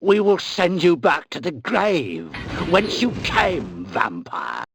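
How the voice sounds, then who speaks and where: An actor playing a menacing, theatrical sorcerer with a creaky voice in a game cutscene, pronouncing doom. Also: male voice, a little high, huge pitch swings, slow pace, noisy background, full character voice. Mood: menacing command. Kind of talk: dramatic game cutscene acting.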